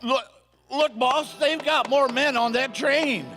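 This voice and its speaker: A man playing a panicky, excitable cowhand with a creaky voice in a live Western radio play. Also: very high-pitched, slow pace, exaggerated voice.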